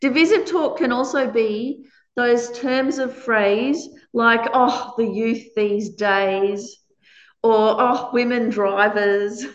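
A loud-voiced woman teaching Buddhist ethics, frank and quick to laugh between her points. She talks to a gathered audience in a roomy space, and the recording sounds a little dull and muffled. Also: fairly high pitch. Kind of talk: dharma talk answering questions.

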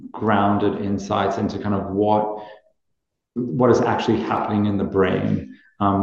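A man's voice: on the low side, gravelly, darkish tone, heavy reverb, muffled recording. A man who grew up in Australia and Nepal, introducing a meditation course to a live class, hesitant with many ums and restarts.

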